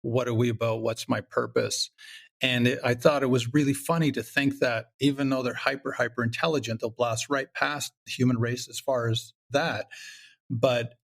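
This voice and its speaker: Male interview guest, writer type: mid-pitched, fry in the voice, casual and given to acted asides. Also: fairly even intonation, moderate pace. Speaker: guest, writer and creator of audio comedy.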